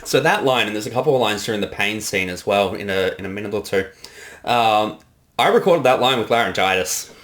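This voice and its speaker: A man's voice: lowish voice, animated, fairly quick, fairly bright tone. A man giving casual director's commentary on a fan parody, informal and self-deprecating, with some swearing. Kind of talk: casual commentary over an animated episode.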